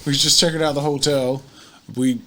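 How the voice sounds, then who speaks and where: A man's voice: mid-range voice, flat delivery, fairly quick, a bit bright, faint music behind. A man chatting casually as a player in a tabletop role-playing game.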